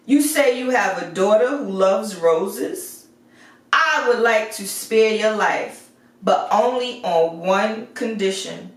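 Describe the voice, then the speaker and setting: A woman voicing a terrifying, angry male beast in a storybook, commanding and menacing, in an echoey recording. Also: mid-range voice. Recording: echoey room, far from the mic.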